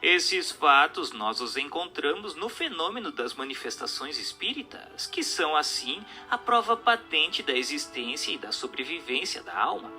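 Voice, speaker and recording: Bright, forceful Brazilian man narrating. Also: on the high side. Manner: declamatory, didactic, emphatic. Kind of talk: dramatized audiobook reading of a spiritual text. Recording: narration over music.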